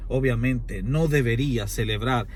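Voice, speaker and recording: A man's voice: medium pitch, moderate pace, a little hiss or hum. A Dominican man explaining his argument at length in conversation, in a formal, erudite and oratorical way with emphatic repetition. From Dominican Republic.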